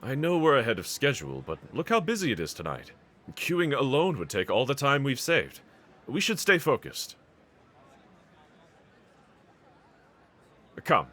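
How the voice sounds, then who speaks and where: A man's voice: mid-range voice, wildly swooping pitch, moderate pace, fairly bright tone. A man playing a bold, earnest, reassuring demon slayer swordsman in an anime audio roleplay, speaking with formal, courteous diction. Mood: upbeat, dutiful.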